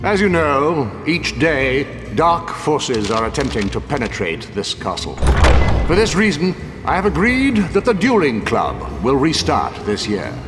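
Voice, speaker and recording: Commanding elderly wizard orator, widely swinging pitch, hall reverb, game cutscene. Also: male voice, huge pitch swings. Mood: solemn, proclaiming. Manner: measured, formal oratory. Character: grave, commanding old wizard headmaster. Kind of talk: video game speech. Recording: long hall reverb, music behind.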